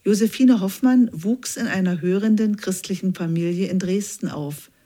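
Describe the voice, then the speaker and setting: A woman reading a scripted news feature aloud in an even, measured way. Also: medium pitch. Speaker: news reader.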